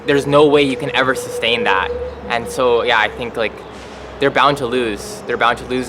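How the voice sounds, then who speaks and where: A man's voice: medium pitch, noisy background. A man speaking informally and earnestly off the cuff, repeating phrases for emphasis.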